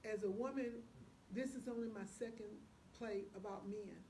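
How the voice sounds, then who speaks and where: A woman's voice: medium pitch, moderate pace, dark tone, a little hiss or hum. A woman speaking as an interview guest, chatting casually and personally about her own work.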